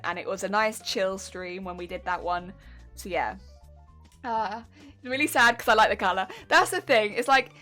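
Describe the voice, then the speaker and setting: A woman's voice: mid-range voice, fairly bright tone. A young woman with an English accent, chatting casually on a live stream as she answers chat questions and critiques her own sewing. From England.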